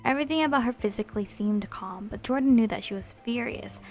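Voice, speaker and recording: A woman's voice: fairly high pitch, fast, a little mellow, faint music behind. A young woman reading a visual novel aloud for a let's play, with chatty, apologetic asides between the lines.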